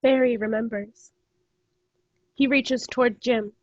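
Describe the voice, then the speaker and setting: A woman's voice: fairly high pitch, fairly even intonation, slow, darkish tone, no room sound. A woman reading stage directions as scripted narration, recorded over a video call. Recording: dull, dry video-call audio.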